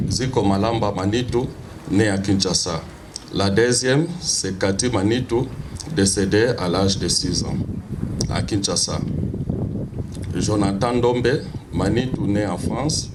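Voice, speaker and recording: Slow, formal man reading a eulogy. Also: fairly low pitch, noisy background. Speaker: funeral master of ceremonies. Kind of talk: reading a biography at a funeral.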